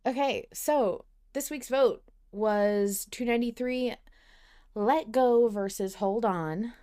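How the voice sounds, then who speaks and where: A woman hosting a podcast, chatting casually and emphatically, with informal, animated delivery. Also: fairly high pitch, slow pace. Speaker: podcast host. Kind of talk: casual fan podcast chat.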